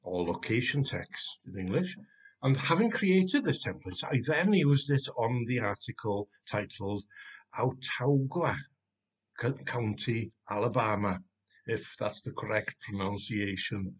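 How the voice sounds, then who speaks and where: A man in his sixties from Wales, a native Welsh speaker with a Welsh accent, giving a measured, explanatory tutorial recorded at a desk webcam at home. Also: lowish voice, expressive, husky, rough recording. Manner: measured, explanatory, some restarts. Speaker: Wikimedia manager presenting a tutorial. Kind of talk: screen-recorded tutorial narration. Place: home room, indoors.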